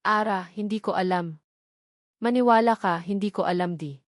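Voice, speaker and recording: A woman's voice: mid-range voice, moderate pace, no echo, clean studio sound, close to an everyday voice. A woman voicing a desperate, guilt-stricken man in love, pleading dramatically in a romance story.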